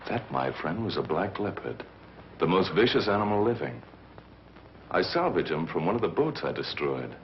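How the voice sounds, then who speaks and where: An actor playing a cold, menacing villain commander in a 1940s film serial, speaking in measured, formal threats. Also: male voice, sits low, very animated. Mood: smugly menacing. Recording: noisy, muffled old film soundtrack.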